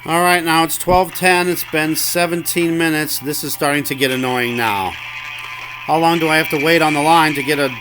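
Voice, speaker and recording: A man giving an informal account of a grievance as a customer, halting and repetitive, sounding aggrieved. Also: a little high, steady tone, music in the background, low-quality recording. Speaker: customer phoning in a complaint.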